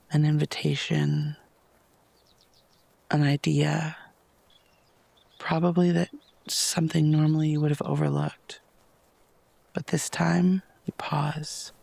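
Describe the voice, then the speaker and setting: Soft man with heavily creaky, breathy voice. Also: a little high, no echo. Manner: soft, breathy, lapsing into near-whispers; unhurried, gentle, with long pauses. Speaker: guided meditation host. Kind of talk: guided meditation narration.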